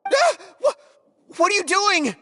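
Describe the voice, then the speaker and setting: A young student caught out, stammering and defensive. The voice is high and lively, bright and tense. A long reverb trails each line. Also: unhurried, heavily acted. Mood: startled. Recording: game voice with long hall reverb.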